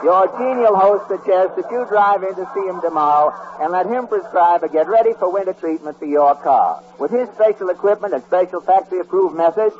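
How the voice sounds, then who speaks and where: A man in a 1940s radio comedy, playing the dry, matter-of-fact straight man and reading the closing lines. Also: high-pitched. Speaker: comedy sidekick and closing announcer. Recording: muffled old radio with hiss.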